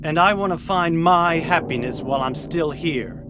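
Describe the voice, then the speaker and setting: A man's voice: on the high side, moderate pace, very noisy, natural voice. An actor playing a smug, mocking male priest in a video game cutscene, speaking with sneering, teasing questions. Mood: insinuating. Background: music.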